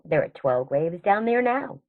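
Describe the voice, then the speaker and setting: Woman playing a husky prim old aunt, phone-like sound. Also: on the low side, sing-song, measured pace, full character voice. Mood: prim, indignant. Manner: matter-of-fact, proper, scolding. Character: prim, indignant elderly aunt, serenely murderous. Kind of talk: radio play acting.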